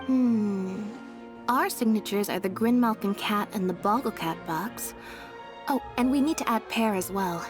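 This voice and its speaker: A woman's voice: medium pitch, a little slow, over music, natural voice. A woman playing a playful young stage magician in a video game. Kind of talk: scripted video game voice acting.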